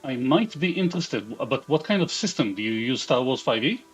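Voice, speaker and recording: A man's voice: mid-range voice, very animated, normal speed, slightly rough. A man from Israel with an Israeli accent, streaming live, reading chat aloud and muttering half-sentences to himself as he builds a game character. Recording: dull lo-fi stream audio.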